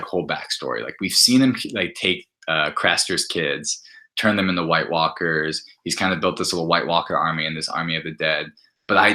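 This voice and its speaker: A man speaking as a podcast guest in casual, halting chat peppered with "like". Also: low-pitched, bright, forceful tone. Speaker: podcast guest, app maker.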